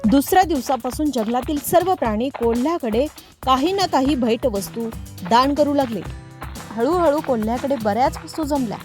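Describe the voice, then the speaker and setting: Female narrator for children's moral tales, high-pitched voice, scripted storytelling over light music. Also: darkish tone.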